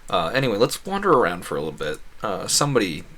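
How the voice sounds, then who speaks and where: A man's voice: lowish voice, wildly swooping pitch, fast talker, sharp tone. Rambling man casually streaming a game. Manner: rambling, thinking aloud. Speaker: livestreamer. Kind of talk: casual gaming stream chat.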